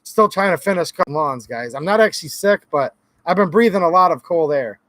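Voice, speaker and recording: A hoarse man hosting his own gun channel, talking earnestly and casually straight to his viewers, often calling them guys. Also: fairly high pitch, lively intonation, fairly quick, fairly bright tone, no echo. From Michigan. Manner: earnest, talks directly to viewers as guys, repeats himself. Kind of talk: casual talk to camera.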